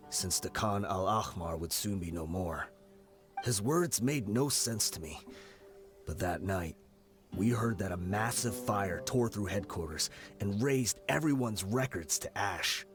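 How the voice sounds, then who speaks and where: A veteran desert mercenary leader in a video game. He is grave and burdened by guilt, speaking solemnly in a low register with music clearly behind him. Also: unhurried. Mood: somber. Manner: solemn storytelling.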